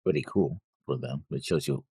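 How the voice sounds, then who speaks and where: A man's voice: rumbling low, moderate pace, gravelly, warm tone, husky, no room sound, clean studio sound. A man co-hosting an anime reaction show, casually going over his notes on an episode.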